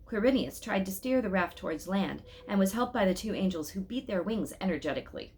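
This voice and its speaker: A woman reading a children's story aloud expressively, pausing to speak directly to her listeners with questions and asides. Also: mid-range voice, lively intonation, a little mellow.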